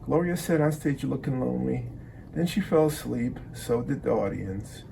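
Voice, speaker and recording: A man's voice: mid-range voice, moderate pace, creaky, mellow. A man reading a children's picture book aloud as narration. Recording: faint background noise.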